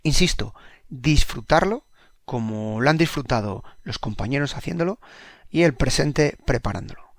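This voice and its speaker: Podcast host, male, Spain accent, mid-pitched, dry close recording, presenting a radio fiction. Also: animated, normal speed, dry recording. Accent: Spanish from Spain. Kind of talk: spoken introduction to an audio drama.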